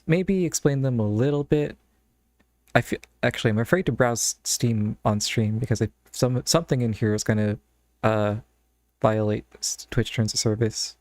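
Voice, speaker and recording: A man's voice: medium pitch, moderate pace, darkish tone, no room sound. Man rambling casually on a dry mic. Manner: rambling, thinking aloud. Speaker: streamer. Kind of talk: casual live-stream chat while browsing games.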